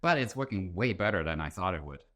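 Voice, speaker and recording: Low-voiced man explaining ideas. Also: lively intonation, fast talker, a bit bright. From Germany. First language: German. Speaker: interview guest, author and entrepreneur. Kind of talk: conversational explanation.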